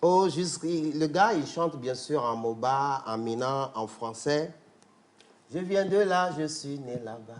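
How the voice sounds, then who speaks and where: Un homme à l'accent togolais qui présente l'invité sur un ton joueur, en scandant des listes et en chantonnant. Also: male voice, mid-range voice, expressive, a little slow. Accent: Togolese French. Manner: playful, rhythmic, chanting lists. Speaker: show regular presenting the guest. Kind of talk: lively praise-style guest presentation.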